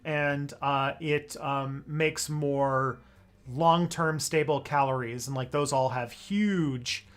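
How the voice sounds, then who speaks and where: A man hosting a livestream in casual, joking chat full of fillers. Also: fairly high pitch. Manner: chatty, filler-heavy, joking. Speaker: livestream host.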